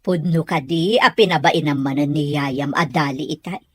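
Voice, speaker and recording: A woman's voice: sits low, moderate pace, no room sound. A woman playing a firm, caring mother in an audio drama, speaking in an earnest, admonishing way. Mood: stern, earnest. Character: firm, caring mother correcting her child. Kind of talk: Ilocano audio drama acting.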